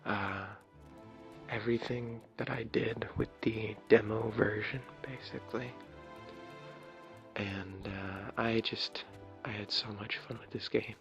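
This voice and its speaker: A man with a low, crackly, raspy voice records ASMR game commentary. He is soft-spoken and meanders hesitantly as he shows things off. The sound is muffled and lo-fi, with game music clearly playing behind him. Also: fairly even intonation, takes it slowly. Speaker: video creator showing his game builds. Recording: dull lo-fi mic, clear background music.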